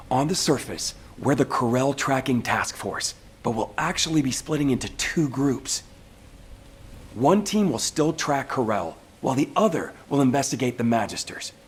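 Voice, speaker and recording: An actor playing an earnest, energetic male task force soldier in a video game, direct and husky-voiced. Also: medium pitch, normal speed. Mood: brisk, secretive. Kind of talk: video game cutscene acting.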